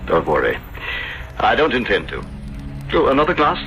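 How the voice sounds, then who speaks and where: Smooth, theatrical, wildly swooping middle-aged schemer. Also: male voice, medium pitch, wildly swooping pitch, takes it slowly. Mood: cool, guarded. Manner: theatrical, courtly, hesitating for effect. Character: smooth, scheming middle-aged Italian gentleman hiding a secret. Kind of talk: 1970s radio drama acting. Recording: dull vintage radio broadcast, music behind.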